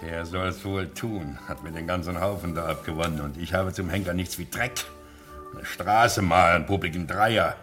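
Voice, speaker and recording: A man's voice: sits low, moderate pace, fairly bright tone, soft background music. An actor playing a coarse, blustering male gang boss in an old radio play. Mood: gruff. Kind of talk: 1960s radio play acting.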